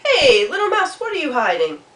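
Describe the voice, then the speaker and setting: A woman's voice: high-pitched, huge pitch swings, a little fast, fairly bright tone, roomy sound, voice pushed up, exaggerated voice. A woman voicing friendly, curious little woodland animals in a puppet story, calling out questions in a nosy sing-song. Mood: curious, eager.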